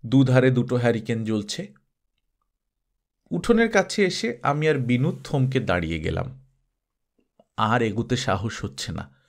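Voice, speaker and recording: Guy telling a story, audiobook style, expressive reading, medium pitch. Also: a little slow, gravelly, long pauses. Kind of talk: expressive scripted story narration.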